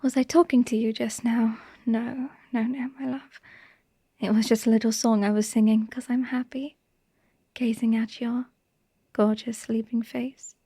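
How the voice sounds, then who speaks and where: A tender, possessive vampire wife, played by a woman in an intimate ASMR monologue. Her voice is mellow, hushed and breathy, drifting into whispers. She speaks very slowly in a narrow, gentle melody, close and dry. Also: a little high, little pitch movement, dry recording. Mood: soothing, affectionate. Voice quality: soft, breathy, half-whispered. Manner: slow, hushed, lovingly murmured.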